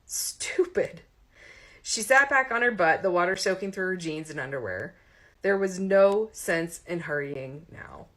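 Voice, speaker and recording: Low-pitched woman narrating fiction. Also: animated, normal speed, a bit bright. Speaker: audiobook narrator. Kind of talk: expressive narration of romantic comedy fiction.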